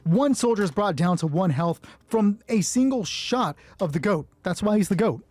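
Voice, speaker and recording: Husky, mellow man running a solo tabletop game. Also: sits high, animated, normal speed, faint music behind. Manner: thinks aloud, swears casually. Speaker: solo roleplay game master. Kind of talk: casual running commentary on dice rolls.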